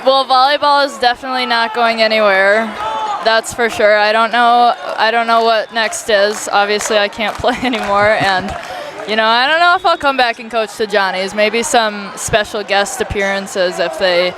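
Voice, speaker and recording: A woman's voice: on the high side, normal speed. A young woman, a player and coach, answering interview questions in an upbeat, loose way. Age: young adult. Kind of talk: casual interview. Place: loud gym with a crowd. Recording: noisy crowded gym. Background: crowd cheering, balls bouncing.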